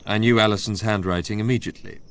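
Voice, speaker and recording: A man playing a brooding, proud young narrator in a radio drama, telling his story in measured literary phrases. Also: lowish voice, steady tone, fairly bright tone. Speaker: first-person narrator.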